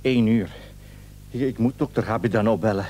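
A man's voice: on the low side, moderate pace, warm tone, natural voice. An actor playing an anxious, frightened husband who stammers and repeats his words in a radio play. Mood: frightened, urgent. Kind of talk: Dutch radio play acting.

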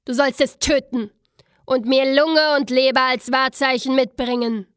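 A woman's voice: high voice, steady tone, measured pace, a bit bright, clear, resonant tone, in a large hall. A woman voicing a proud, vain, envious queen from a fairy tale, speaking imperiously. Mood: cold, commanding.

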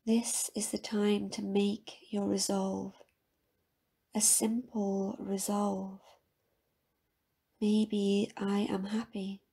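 A woman guiding a scripted meditation in a calm, measured, soft-spoken manner with a husky, breathy voice. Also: mid-range voice. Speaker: meditation guide. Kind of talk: guided meditation, scripted soothing narration.

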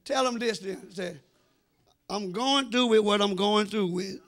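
A man's voice: sits high, unhurried, fairly bright tone. A middle-aged American man preaching a sermon emphatically, drawing out phrases and addressing his congregation directly. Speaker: senior pastor preaching. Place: church sanctuary before a congregation. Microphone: handheld microphone.

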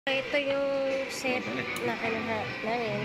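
A woman's voice: on the high side, steady tone, measured pace, loud music behind the voice. A young woman vlogging on a road trip, chatting to her viewers and her dog on a phone held at arm's length. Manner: chatty, thinks aloud with fillers, talks to viewers and her dog. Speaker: travel vlogger. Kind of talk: casual road trip vlog. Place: outdoors by a roadside and in a seaside restaurant.

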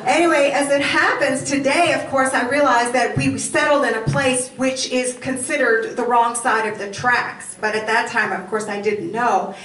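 An older woman from Poland whose first language is Yiddish, telling animated stories in a lecture with a handheld mic in a hall. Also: a little high, moderate pace, few pauses. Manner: animated, does voice imitations. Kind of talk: storytelling lecture. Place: hall with curtains, indoors. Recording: roomy hall from far off, faint noise.

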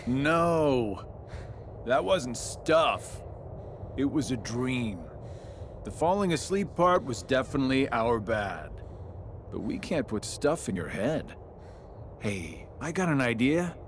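A sly male villain from a video game drawls with smug, mocking relish. His slangy delivery is slow and highly animated, taunting and flippant. Faint ambience runs behind him. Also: a little hiss or hum.

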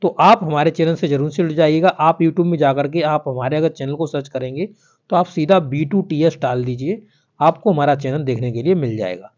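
A man's voice: a little high, brisk, darkish tone. A man explains official updates to viewers in an informal, instructional voice-over, often repeating himself. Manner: repetitive, instructional, addressing viewers directly. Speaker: exam news YouTuber. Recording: dull, lo-fi voice-over.

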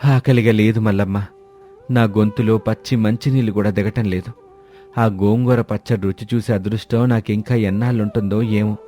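A man's voice: fairly low pitch, steady tone, normal speed, mellow, faint music behind, close to an everyday voice. A man playing a naive village farmer and husband in a moral story, gloomy and resigned as he laments. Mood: despondent. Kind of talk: dramatized Telugu moral story.

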